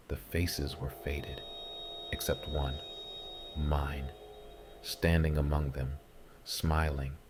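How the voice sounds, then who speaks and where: A man narrating a scripted first-person horror story in a measured, ominous way, with music behind him. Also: very deep voice, darkish tone, slight background noise. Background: music bed.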